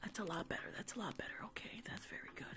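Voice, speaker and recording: A young adult woman doing a soothing ASMR nurse roleplay in a breathy whisper, close to the mic, with short reassuring phrases. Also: fast. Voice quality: breathy whisper with some creak. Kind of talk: whispered ASMR medical roleplay, live stream. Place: small room at home, indoors. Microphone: close microphone with fluffy windscreen.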